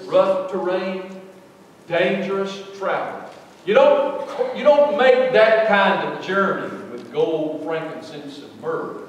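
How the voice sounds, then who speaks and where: A middle-aged man preaching a sermon, swinging between loud, emphatic lines and soft ones. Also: fairly high pitch, a little hiss or hum. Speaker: pastor. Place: large church sanctuary. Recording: echoey hall, voice from far off.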